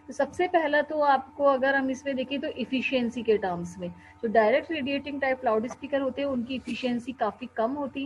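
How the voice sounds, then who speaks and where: Young female lecturer, Indian accent, fairly high voice, explanatory classroom delivery, slightly noisy recording. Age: young adult. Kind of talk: explanatory classroom lecture mixing Hindi and English. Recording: dull lo-fi webcam audio with faint hum.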